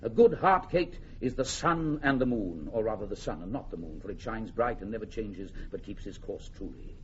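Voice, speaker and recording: A man's voice: on the low side, lively intonation, normal speed, natural voice. An actor playing a bold, plain-spoken English warrior king who woos ardently, in classic Shakespearean radio drama style. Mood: ardent wooing. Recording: muffled old radio recording, faint noise.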